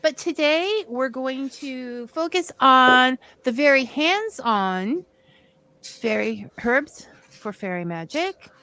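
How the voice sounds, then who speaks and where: A woman hosting a casual online talk show, chatty and unhurried, laughing often as she introduces her guest. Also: high voice, animated, sharp tone. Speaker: host.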